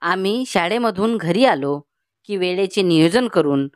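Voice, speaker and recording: A woman's voice: fairly low pitch, lively intonation, unhurried, dry recording, studio quality. A woman playing an earnest, helpful schoolchild from a poor family in a cartoon story. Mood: eager to help. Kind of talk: scripted cartoon story dialogue.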